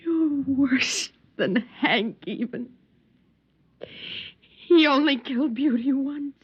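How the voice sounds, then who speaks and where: A yearning, dramatic wife in a 1950s radio play. She speaks very slowly and with feeling, in a slightly high voice. The old recording sounds muffled. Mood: bitter, defiant. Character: yearning defiant wife starved for beauty, dreamy, dramatic.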